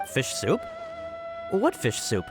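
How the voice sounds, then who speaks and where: A man's voice: mid-range voice, wildly swooping pitch, slow. An actor playing an earnest, anxious young male subordinate in a video game, apologetic and emphatic. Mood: flustered denial. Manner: emphatic, eager, flustered. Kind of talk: scripted video game voice acting. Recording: music clearly behind the voice.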